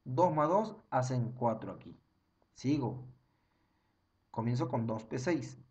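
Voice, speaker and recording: A man's voice: medium pitch, expressive, slow, a little mellow, highs cut off. A young man, teaching an online chemistry class over slides and counting through each step again and again. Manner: repetitive, step-by-step counting, frequent "ojo" and "ya".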